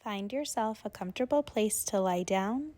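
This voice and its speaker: A woman's voice: mid-range voice, warm tone. Woman guiding a relaxation meditation. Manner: soothing, unhurried instruction with long pauses. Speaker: yoga nidra instructor.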